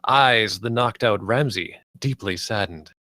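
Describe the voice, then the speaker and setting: A man's voice: fairly low pitch, measured pace, sharp tone, no echo. A man reading scripted stage directions as the narrator of a screenplay table read.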